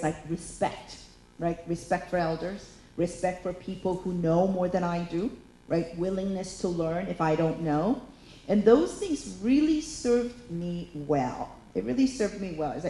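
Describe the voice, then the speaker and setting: A woman's voice: on the low side, warm tone, echoey room, slight background noise, low-quality recording. A woman in her fifties talking candidly as a panelist in a panel discussion, chatty and full of fillers. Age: 50s. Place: lecture hall.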